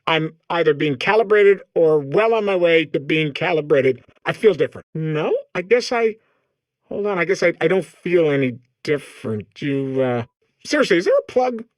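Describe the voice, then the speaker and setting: A man's voice: fairly high pitch, expressive, moderate pace, dry recording, close to an everyday voice. A man voicing an eager, naive robot core in a comedic video game, babbling apologetically and second-guessing himself. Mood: nervously cheerful.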